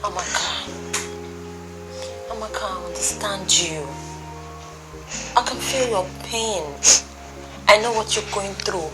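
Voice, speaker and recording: A woman's voice: mid-range voice, slow, long pauses, music in the background, natural voice, low-quality recording. An actress playing a family member who earnestly comforts a beaten woman. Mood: comforting. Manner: soothing, earnest.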